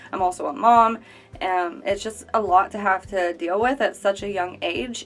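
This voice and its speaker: A young woman in her late twenties speaks earnestly and conversationally, sharing her own experience in a testimonial. Her pitch sits at an ordinary middle height for a woman. Soft music plays quietly underneath. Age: 20s. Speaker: cancer survivor sharing her story. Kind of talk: personal testimonial.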